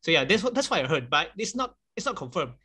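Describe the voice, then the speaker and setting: Bright-voiced chatty man, native Chinese speaker. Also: a little high, sing-song, fast talker, husky. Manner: chatty, laughs often, many fillers. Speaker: guest.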